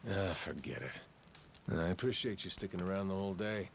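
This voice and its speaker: An actor playing a gruff, easygoing Yakuza man with amnesia in a video game, speaking in rough, teasing, folksy slang. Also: low-pitched, fairly quick, a little hiss or hum. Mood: easygoing, wry. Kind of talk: video game cutscene acting. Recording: faint music behind the dialogue.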